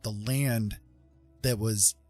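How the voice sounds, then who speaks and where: A middle-aged American man chatting reflectively on a livestream, speaking earnestly and emphatically with halting pauses. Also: fairly low pitch, steady tone, a little mellow, soft background music. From Indiana, United States. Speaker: livestream host. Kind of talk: casual reflective livestream chat.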